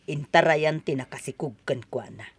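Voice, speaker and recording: A woman's voice: deep voice, normal speed, a little hiss or hum. Woman acting a stern, pressing mother. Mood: grave pleading. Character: stern, scheming mother of a pregnant daughter. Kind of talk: melodramatic audio drama acting.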